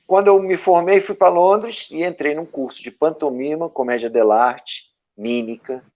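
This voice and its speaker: A man from Brazil, an actor and director, telling stories from his career as an interview guest, with a lively anecdotal manner and frequent tag questions. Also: a little high, normal speed. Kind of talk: storytelling interview answers. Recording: dull lo-fi audio.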